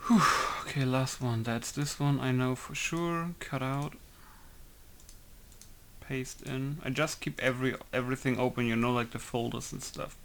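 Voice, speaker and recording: A man's voice: mid-range voice, fairly even intonation, normal speed. A young man, casually rambling and muttering to himself while working on a game mod tutorial. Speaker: game modder.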